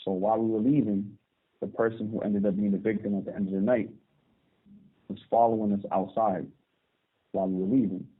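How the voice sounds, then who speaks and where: A man in his thirties from Barbados, calling in from prison and telling his story calmly, with hesitant restarts. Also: lowish voice, fairly quick, pauses often. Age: 30s. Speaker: guest. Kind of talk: phone interview. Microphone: phone call. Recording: tinny phone line.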